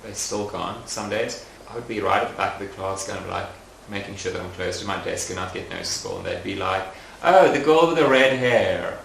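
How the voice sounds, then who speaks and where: A young man speaking in a documentary interview, thoughtful and soft-spoken with frequent pauses and fillers. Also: low-pitched, vocal fry, slight background noise. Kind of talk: reflective personal interview. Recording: roomy echoey recording.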